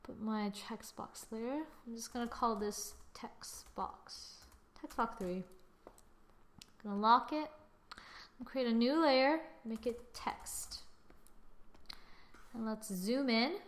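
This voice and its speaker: A young woman with a slightly high voice talks through a design tutorial at her computer. She narrates every click and step in a chatty, casual way, speaking into a desktop mic. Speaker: tutorial host. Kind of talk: screen-recorded software tutorial.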